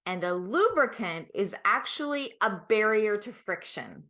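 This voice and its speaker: A woman's voice: mid-range voice, big pitch swings, measured pace, pushed and bright. A middle-aged woman, a guest expert explaining things conversationally and emphatically, often checking that the listener follows. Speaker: guest expert, product creator.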